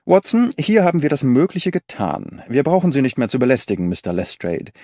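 A man voicing a keen, brooding detective in an audiobook, deliberate and murmuring as he thinks aloud. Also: medium pitch, sing-song, a little mellow, no echo, studio quality, pitched above the performer's own voice. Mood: keen, eager. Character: keen, brooding male detective, intense and impatient. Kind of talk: audiobook character reading.

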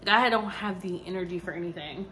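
A woman's voice: on the low side, fast, sharp tone, slight background noise. A young woman, tired, chatting casually to her vlog audience with lots of fillers and rambling asides. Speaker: vlogger. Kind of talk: casual chat to camera.

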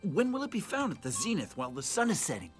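Low-voiced young prince. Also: female voice, huge pitch swings, fast talker, soft background music. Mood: puzzled, earnest. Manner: polite, questioning, earnest. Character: earnest courteous young desert prince, boyish. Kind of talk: cartoon hero acting.

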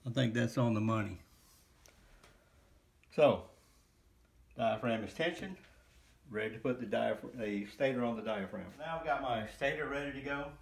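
Gravelly middle-aged man, casual how-to. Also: mid-range voice. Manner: unscripted, speaking in short bursts while working. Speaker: hobbyist builder demonstrating his work. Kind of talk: casual hands-on how-to narration. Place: indoor room at home, at a worktable. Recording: noisy home recording with clicks.

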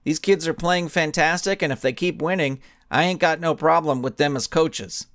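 A man's voice: fairly high pitch, normal speed, a bit bright, no room sound, studio quality. A man doing a folksy soccer dad. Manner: folksy, easygoing. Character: plain-spoken male soccer dad in a ball cap.

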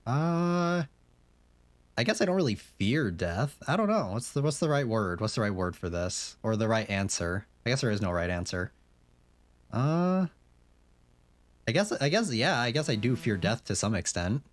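A young man streams a horror game, talking loosely and rambling through fillers and restarts. His voice sits at a middle pitch. The sound is dry and close to the mic. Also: big pitch swings, moderate pace, no echo. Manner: chatty, rambling, many fillers and restarts, reads questions aloud. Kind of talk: casual game commentary.

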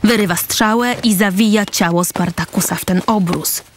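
A woman narrating a radio drama deliberately, pausing between short phrases. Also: mid-range voice, a little hiss or hum.

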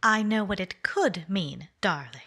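A woman's voice: medium pitch, expressive, measured pace, sharp tone, slightly rough, full character voice. A woman playing a cool, scheming wife in an old-time radio mystery, coaxing and purring endearments. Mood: silky coaxing. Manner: purring, calls him darling. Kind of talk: 1940s radio acting.

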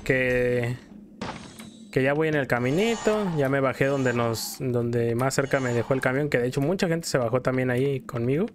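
A man's voice: medium pitch, moderate pace, soft background music. A man with a Mexican accent telling a story in casual, colloquial style while commenting as a gameplay host. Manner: colloquial, acts out quotes in passing.

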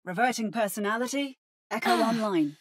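A woman's voice: fairly high pitch, expressive, normal speed, lightly acted. A woman voicing an adaptive female robot that mimics other heroes, with precise, theatrical video game delivery. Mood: calm, synthetic.

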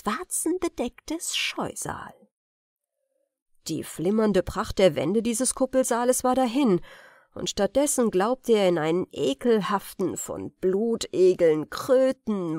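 A woman narrates a fairy tale for an audiobook. Her reading is expressive, with wide, lively intonation and a slow pace. The recording is close and dry. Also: mid-range voice, dry recording.

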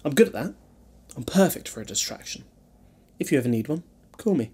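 A man playing a flippant, protective alien time traveller in a fan audio drama, with a husky voice and wry, bantering delivery. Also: mid-range voice, big pitch swings, a little fast, warm tone.

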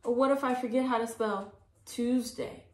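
A woman's voice: fairly high pitch, fairly even intonation, normal speed, voice pushed up, close to an everyday voice. A woman plays a tall lady teacher from a storybook. She is friendly, polite and a little nervous, heard in an echoey room. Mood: worried.

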